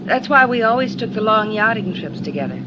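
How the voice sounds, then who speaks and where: A woman's voice: mid-range voice, big pitch swings, brisk, lightly acted. Woman as gushing genteel widow, muffled old radio. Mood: gushing, wistful. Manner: breathlessly earnest, overdone assurances. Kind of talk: 1950s radio drama acting. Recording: dull, noisy vintage radio recording.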